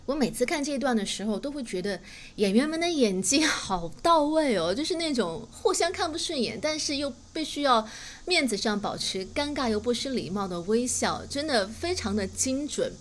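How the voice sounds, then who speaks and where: Higher-pitched woman teaching, native Mandarin speaker. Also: expressive, normal speed. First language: Mandarin Chinese. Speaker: English teacher, host. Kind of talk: explanatory language lesson. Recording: faint background noise.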